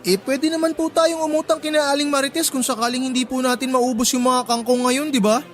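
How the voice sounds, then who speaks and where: An actor as a high-pitched, sulky young son. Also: steady tone, a little fast, natural voice. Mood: reluctant, coaxing. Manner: complaining, talks back, sulky. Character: resentful, whining young son of a market vendor. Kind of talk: Tagalog radio drama acting.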